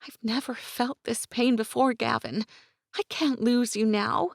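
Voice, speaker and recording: Reader doing steadfast young wife, earnest, pleading, dry close storybook sound. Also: female voice, a little high, a little slow, vocal fry, no echo, pitched above the performer's own voice.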